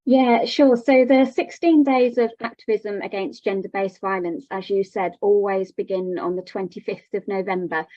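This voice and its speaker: A woman's voice: mid-range voice, a little mellow, no room sound, dull audio. A woman speaking as a radio guest, giving an explanatory answer in an interview.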